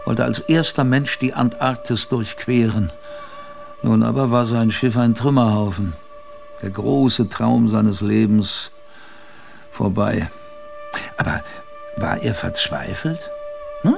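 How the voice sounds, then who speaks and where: An older, wry adventurer narrating his youth in a radio play. The delivery is slow and gravelly, with dramatic pauses and rhetorical questions, over faint music. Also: medium pitch. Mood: wry, reflective.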